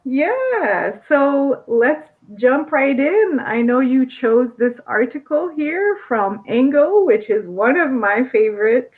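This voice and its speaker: An American woman teaches English over a thin, phone-like line. Her voice sits a bit high and she speaks slowly, gently correcting and encouraging her student. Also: sing-song. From United States. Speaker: ESL teacher. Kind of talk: live conversation lesson.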